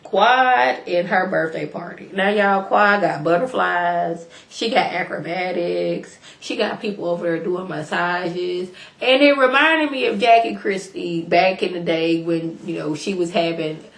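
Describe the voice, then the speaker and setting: A woman with a lowish voice talks to her viewers in a casual, chatty way, full of slang. She sounds a little hoarse from a cold. The room around her is echoey. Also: unhurried, hardly any pauses. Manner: chatty, animated, slangy, addresses viewers directly. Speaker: YouTube reality TV reviewer. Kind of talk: casual recap vlog.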